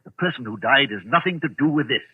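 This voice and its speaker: A man's voice: medium pitch, animated, fast talker, breathy edge. An actor playing a pompous, snobbish middle-aged businessman with a haunted streak, clipped and imperious, in old-time radio drama style. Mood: matter-of-fact. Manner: imperious, clipped, self-important. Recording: noisy, tinny vintage radio recording.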